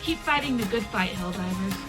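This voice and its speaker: A female wartime news presenter, played by a woman, delivers a scripted military bulletin. Her delivery is measured and official, sitting at an average pitch for a woman. Music plays clearly behind her. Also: lively intonation, lightly acted, poor audio. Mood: composed, official. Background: loud music bed.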